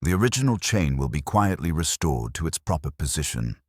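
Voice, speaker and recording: A man reads as a police inspector delivering lines in a detective story. The voice is extremely deep and dry in sound, with composed, official phrasing. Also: very animated, fairly quick, no room sound, heavily acted. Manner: formal, composed.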